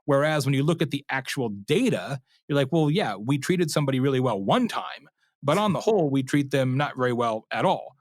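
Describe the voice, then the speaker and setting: A man's voice: medium pitch, brisk, no room sound. A man speaking as an expert interview guest, explaining conversationally and acting out imagined quotes as he goes. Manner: animated storyteller, acts out imagined customer quotes, checks in with "right?".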